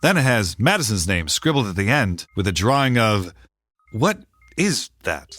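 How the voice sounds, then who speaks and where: A man's voice: lowish voice, very animated, moderate pace, a bit bright, dry recording, faint music behind. An actor playing a square-jawed heroic man in an earnest 1930s radio serial style.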